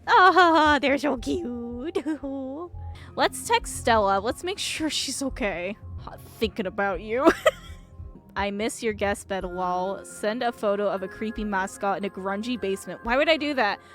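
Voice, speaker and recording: High-pitched, excitable woman streamer. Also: measured pace, faint music behind. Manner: giggly, excitable. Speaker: game streamer. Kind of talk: playful gaming commentary with read-aloud dialogue.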